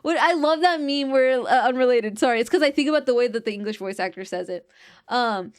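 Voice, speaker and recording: A woman streaming, chatting casually and rambling with fillers, letting her pitch swing with her mood. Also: animated, fairly quick, clean tone.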